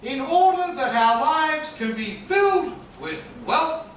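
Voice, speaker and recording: A man's voice: very high-pitched, lively intonation, slow pace, fairly bright tone, a little hiss or hum, exaggerated voice. A man playing a scheming, cowardly young hustler under his mother's thumb, talking theatrically. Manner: theatrical, fretful, sings comic patter. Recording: echoey room from far off, music.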